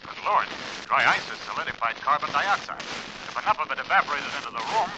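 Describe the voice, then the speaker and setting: Man playing a quick, animated, proper doctor. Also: a little high, close to an everyday voice. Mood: grave, uneasy. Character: educated, anxious, proper male doctor. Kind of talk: 1940s radio acting. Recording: noisy old radio recording.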